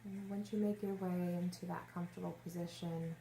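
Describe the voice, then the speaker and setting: A woman leading a guided meditation as a yoga nidra teacher, giving gentle, unhurried instructions with long pauses. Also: lowish voice, fairly even intonation, gravelly. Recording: roomy echo, faint background noise.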